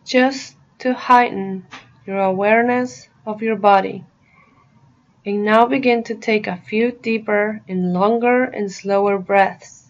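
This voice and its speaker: A woman narrating a guided meditation in a soothing, deliberate manner, pausing between short phrases. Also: medium pitch, lo-fi sound.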